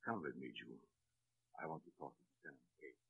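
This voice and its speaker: An actor playing a grave, knowing, protective man who speaks in a measured, brooding way in a crime drama. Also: rumbling low, lively intonation, creaky, phone-quality sound, full character voice. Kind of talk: dramatic film-noir crime acting.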